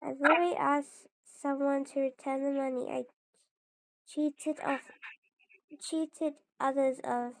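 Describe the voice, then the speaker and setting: A woman reading video game dialogue aloud in a halting way, often stumbling and correcting herself. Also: high voice, a little mellow, low-quality recording. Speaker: streamer playing and voicing a video game.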